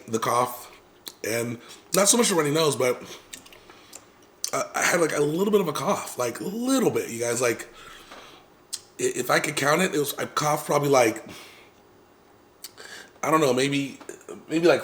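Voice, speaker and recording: A man casually telling viewers a long personal story, rambling with many fillers and restarts and clearing his throat now and then. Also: mid-range voice, animated, moderate pace, pauses often, fairly bright tone. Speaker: vlogger.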